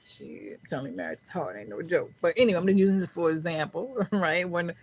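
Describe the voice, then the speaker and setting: A woman's voice: on the low side, lively intonation, brisk, a little mellow, a little hiss or hum. A middle-aged woman giving a casual tarot reading, talking in a chatty, folksy way, swearing freely and often checking in with "right?". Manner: colloquial American speech. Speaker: tarot reader.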